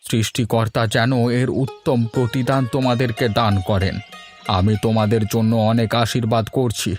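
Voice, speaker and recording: A man's voice: mid-range voice, level tone, moderate pace, soft background music, lightly acted. A man voicing a hungry, neglected old beggar man in a cartoon fairy tale, humble and pleading. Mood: grateful blessing.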